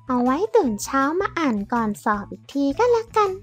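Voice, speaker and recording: A woman's voice: sits high, very animated, brisk, soft background music. A woman voicing a childish little schoolgirl, polite and whiny, in a children's cartoon story. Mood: weary. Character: little schoolgirl, childish, contrite but stubborn.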